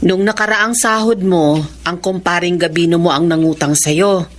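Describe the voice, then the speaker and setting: A woman's voice: fairly low pitch, fairly even intonation, normal speed, natural voice. An actress playing a defensive, love-struck widowed mother in a Filipino radio drama, with a Filipino accent. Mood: frustrated.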